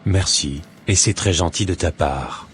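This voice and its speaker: Man voicing a low-pitched, devout, humble man. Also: unhurried. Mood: grateful. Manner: pious, earnest, formal. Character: devout, humble formerly homeless man, earnest and grateful.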